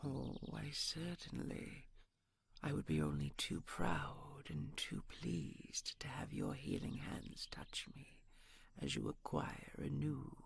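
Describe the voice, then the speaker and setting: A woman's voice: rumbling low, sing-song, slow pace, creaky, breathy edge, in a whisper, exaggerated voice. A woman playing a commanding, possessive dragon queen with a regal, formal manner in an audio roleplay. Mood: tender, proud. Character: commanding, possessive female dragon queen, tender to her beloved.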